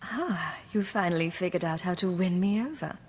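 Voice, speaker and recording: Low-voiced, wry woman secret agent acted. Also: lively intonation, moderate pace, lightly acted. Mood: playful. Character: self-possessed, wry female imperial secret agent. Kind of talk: scripted video game dialogue acting. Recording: long reverb, noisy game ambience.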